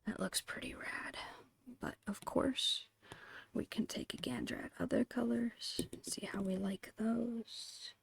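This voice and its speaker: A woman whispering a casual, gentle ASMR drawing tutorial in a breathy, unhurried voice. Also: on the high side, steady tone. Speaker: ASMR host and digital artist.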